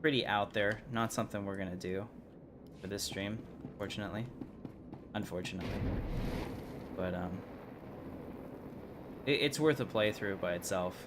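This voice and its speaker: A man livestreaming a video game, chatting casually about his play. His voice is very low, and there is noticeable game noise behind him. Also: moderate pace, long pauses. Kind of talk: casual live gameplay commentary. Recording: noisy game audio behind voice.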